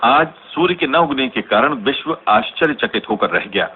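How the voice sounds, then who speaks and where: A man playing a formal radio newsreader who delivers grave bulletins in a measured way within a radio drama. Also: medium pitch, expressive, close to an everyday voice. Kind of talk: scripted Hindi news bulletin in radio drama. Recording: noisy, tinny narrow-band radio recording.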